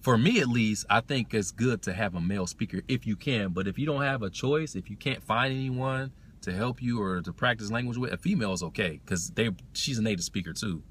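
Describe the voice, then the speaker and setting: A man hosting a language-learning livestream, chatting casually and answering comments, circling back to restate his points in a halting way. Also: on the low side, fairly bright tone, a little hiss or hum.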